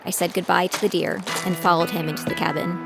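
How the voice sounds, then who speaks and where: Gritty young female survivor, lowish voice, music behind. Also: level tone, quick pace, vocal fry, low-quality recording. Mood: reflective, measured. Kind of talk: first-person scripted audio drama narration.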